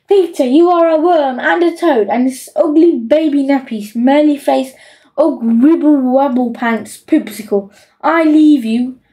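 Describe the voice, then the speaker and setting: A woman's voice: high-pitched, a little slow, warm tone, far from the mic. A woman voicing a greedy, bossy young boy in a storybook, gleefully insulting and emphatic. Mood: scornful. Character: bossy, greedy, scheming young boy dictating his will.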